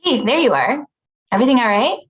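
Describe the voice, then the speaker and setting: Woman playing a higher-voiced, concerned office colleague. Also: sing-song, quick pace. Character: concerned, conciliatory forties female office colleague. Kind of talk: screenplay table read.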